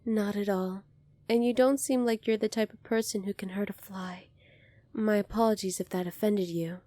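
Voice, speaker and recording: A woman playing a gentle, earnest young alien princess in an ASMR roleplay, speaking softly and politely to the listener. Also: mid-range voice, steady tone, normal speed, dry recording, close to an everyday voice. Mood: apologetic. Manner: soft-spoken, polite, earnest, apologetic.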